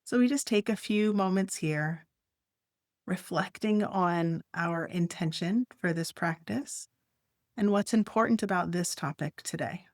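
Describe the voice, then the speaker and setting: A woman leading a guided meditation, speaking gently in short, unhurried phrases with long pauses. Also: lowish voice, dry recording, clean studio sound.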